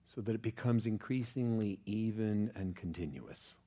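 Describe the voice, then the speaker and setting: A man guiding a relaxation meditation as a yoga nidra teacher, speaking in a calm, measured, soothing way with pauses. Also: lowish voice.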